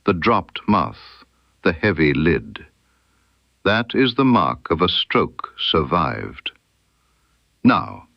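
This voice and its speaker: A man voicing a stern, incisive detective in an audiobook mystery, precise and emphatic, with dramatic pauses. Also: lowish voice, creaky, dry recording, muffled recording, exaggerated voice. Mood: grave, certain. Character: stern, incisive male detective laying out his case.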